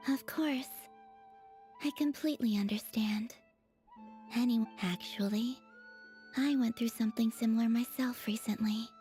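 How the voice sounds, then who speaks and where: An actress voicing an enigmatic, gentle young maiden in a video game, soft and breathy, with a calm, reflective delivery. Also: female voice, a little high, moderate pace, long pauses, soft background music, close to an everyday voice. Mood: regretful.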